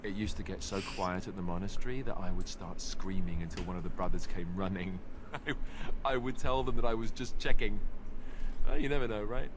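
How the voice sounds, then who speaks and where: An actor playing a boyish, joking warrior in a video game, speaking in a wry, playful way. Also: male voice, low-pitched, fairly quick, background noise, natural voice. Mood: amused. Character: boyish joking male warrior, former monastery trainee.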